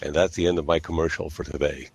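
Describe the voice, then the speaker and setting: A man hosting a casual online group chat, explaining things in a measured, halting way. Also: very deep voice, flat delivery, creaky, husky. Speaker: host of an online group discussion of consultants.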